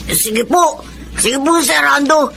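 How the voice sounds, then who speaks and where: An actor playing an eager, respectful schoolboy who dreams of boxing in a Filipino radio drama, polite and stammering. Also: male voice, very high-pitched, takes it slowly, gravelly, sharp tone, a little hiss or hum, exaggerated voice. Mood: polite, nervous.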